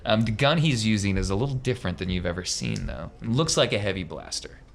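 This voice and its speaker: A man's voice: fairly low pitch, lively intonation, fast talker, vocal fry, slight background noise. A man working as game master, improvising vivid, casual narration for a tabletop game. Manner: vivid, gory description in casual slang.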